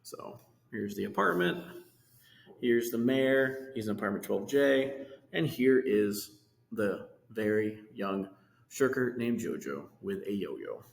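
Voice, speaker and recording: A man's voice: lowish voice, takes it slowly, gravelly. A man reading a children's storybook aloud, with casual asides about the pictures. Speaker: reader of a children's book. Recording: echoey room with long reverb.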